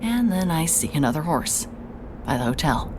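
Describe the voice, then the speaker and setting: Woman, very deep voice, sardonic first-person narrator, noisy backdrop. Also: sing-song, normal speed. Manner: wry, sardonic, vivid. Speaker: narrator and lead in an audio drama. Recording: clear background noise.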